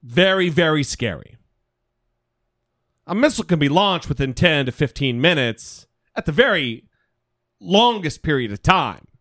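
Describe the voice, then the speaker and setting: A woman's voice: deep voice, a bit bright, no room sound, studio quality. A woman co-hosting a podcast, giving emphatic commentary and repeating phrases for stress.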